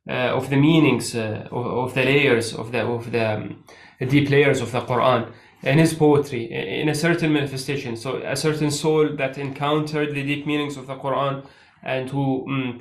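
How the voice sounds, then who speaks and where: A male interviewer speaks at a mid pitch in a measured, halting way, with plenty of "uh" and "um" as he frames his questions. His voice carries a roomy echo. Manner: hesitant, measured, many filler sounds. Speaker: interview host.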